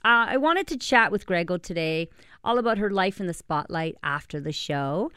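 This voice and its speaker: A woman's voice: on the low side, lively intonation, moderate pace, pushed and bright, smooth, no room sound, clean studio sound. A woman hosting a radio dating and relationship talk show, upbeat and bubbly as she interviews her guest. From Canada. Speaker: radio host and date coach. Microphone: studio microphone.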